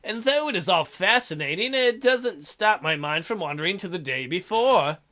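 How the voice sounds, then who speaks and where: A man's voice: high voice, expressive, moderate pace, bright, forceful tone. A man reading visual novel text aloud as a let's play host, with irreverent, sarcastic asides. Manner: irreverent, crude, sarcastic commentary.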